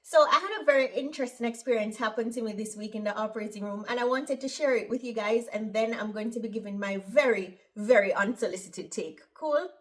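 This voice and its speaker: Jamaican lady talking to her viewers, kinda high voice, chatty and opinionated. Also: brisk, few pauses. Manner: chatty, opinionated, tags lines with "right?". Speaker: operating room nurse. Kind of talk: casual vlog storytelling.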